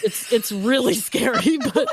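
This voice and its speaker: A woman hosts a podcast game with casual banter. Her voice sits a little high and swings widely in pitch. It is recorded close and dry. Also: sing-song, measured pace, husky, no room sound. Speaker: podcast host.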